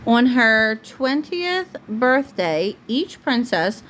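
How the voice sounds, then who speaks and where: A woman's voice: a little high, big pitch swings, extremely slow, a bit bright. Woman reading a bedtime story, noisy recording. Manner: breaks off to answer the child patiently. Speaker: mother reading a bedtime story to a young child. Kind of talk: storybook read-aloud. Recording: home recording with clear background noise.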